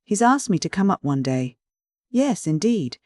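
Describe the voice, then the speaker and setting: A man voicing a dreamy, excitable young girl with a gushing, pettish manner in a storybook reading. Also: high-pitched, moderate pace, dry recording, clean studio sound, voice pushed up. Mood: rapturous.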